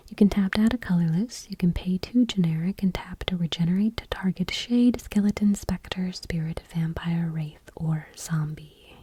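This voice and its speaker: A woman speaks in a very breathy, hushed whisper with a soft, dark tone. She reads item by item in ASMR style, gentle and unhurried, keeping her voice on the low side. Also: dry recording. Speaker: ASMR video host. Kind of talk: soft-spoken ASMR, whispered card reading.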